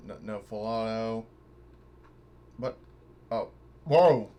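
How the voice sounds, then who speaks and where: A man's voice: on the low side, slow pace, dark tone. A man playing a tabletop game, chatting casually and bluntly with plenty of swearing. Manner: foul-mouthed, blunt. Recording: clear background noise and ambience.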